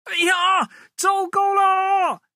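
A man's voice: very high-pitched, level tone, drawn-out words, bright, forceful tone, no echo, studio quality, pitched above the performer's own voice, exaggerated voice. A man voicing a greedy, scheming bald woodcutter in a children's story, with a sly, exclamatory cartoon manner. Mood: panicked.

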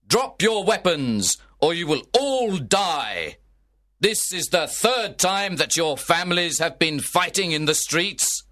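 A man voicing an angry prince who gives orders slowly and firmly. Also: a little high, bright, forceful tone, pitched above the performer's own voice, exaggerated voice. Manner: commanding, slow and emphatic. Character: angry stern male prince ruler.

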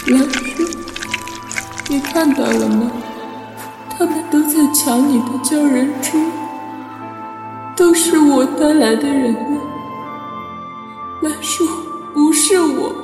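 A woman's voice: high-pitched, slow pace, pauses often. A woman playing a tender, heartbroken young girl in an audio drama, in long echoing reverb. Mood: grieving, pleading. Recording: echoey long reverb under music.

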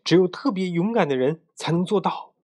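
Man putting on an eager, high-pitched little boy penguin. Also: lively intonation, fairly quick, a little mellow. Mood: adoring. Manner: eager, gushing with admiration. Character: excitable young penguin boy, adoring little brother.

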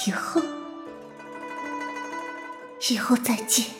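A woman playing a proud, melancholy young noblewoman in love, speaking wistfully in short measured phrases in a period audio drama. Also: lightly acted. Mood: bitter, wistful. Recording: music behind the voice.

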